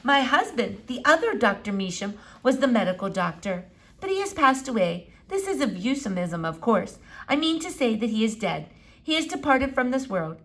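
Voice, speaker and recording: A woman voicing an elderly, high-voiced, quaintly formal lady doctor. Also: very animated, normal speed, fairly bright tone. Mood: wistful, rambling. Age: older. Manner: formal, quaint syntax, digressive, soothing. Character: elderly foreign widowed philosophy doctor, gentle, rambling, precise. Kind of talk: children's book read-aloud.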